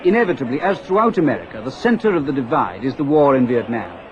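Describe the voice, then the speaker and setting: A man's voice: mid-range voice, big pitch swings, fast talker, background noise. A man delivering a scripted news report as a reporter. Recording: dull archive news report sound.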